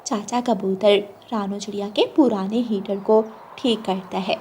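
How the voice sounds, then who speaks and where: A woman's voice: medium pitch, fairly even intonation, measured pace, dark tone, slight background noise. A woman reading scripted narration for a children's cartoon moral story.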